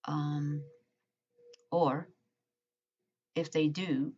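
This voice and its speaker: A woman's voice: sits low, fairly even intonation, drawn-out words, darkish tone, husky. A woman vlogger reading a letter aloud and commenting, hesitant with frequent ums and uhs, in muffled lo-fi audio. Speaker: true-crime vlogger.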